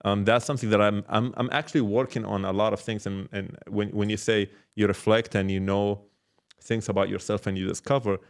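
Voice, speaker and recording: Male podcast guest, startup mentor type, lowish voice, casual and reflective, restarts phrases as he explains. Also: steady tone, creaky. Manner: halting, repeats words, explains with examples and check-questions. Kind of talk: casual reflective interview talk.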